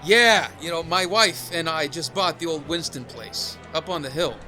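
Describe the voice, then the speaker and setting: Young father-to-be acted by a man, halting, easygoing, bewildered, audio comedy, bright tone, light music behind. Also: on the high side. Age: young adult. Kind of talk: audio sketch comedy acting.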